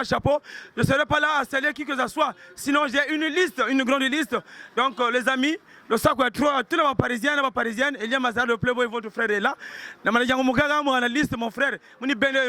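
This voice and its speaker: A Congolese man speaks off the cuff in a very high, bright voice. He rambles and stumbles over repeated words as he addresses the crowd. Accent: Congolese French. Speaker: sapeur spokesman. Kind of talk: improvised public speech.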